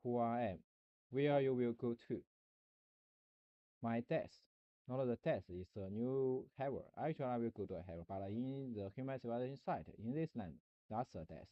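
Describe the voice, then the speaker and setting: A man streaming a rambling philosophical monologue, halting and searching for words, often restarting and repeating himself. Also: medium pitch, dry recording, muffled recording.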